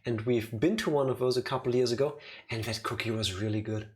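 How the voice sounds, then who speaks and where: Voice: medium pitch, fast. A streamer chatting casually while playing a game. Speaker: let's play streamer.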